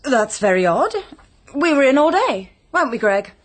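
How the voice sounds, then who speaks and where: A woman's voice: sits high, big pitch swings, moderate pace. An English woman in a British radio drama playing a strong-willed young married woman, forthright and inquisitive. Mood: indignant, emphatic. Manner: forthright, bossy, questioning.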